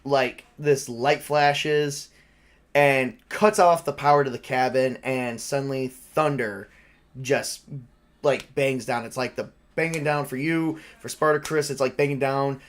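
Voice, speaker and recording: A man running a tabletop role-playing game as game master, narrating casually and haltingly as he improvises. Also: medium pitch, fairly even intonation.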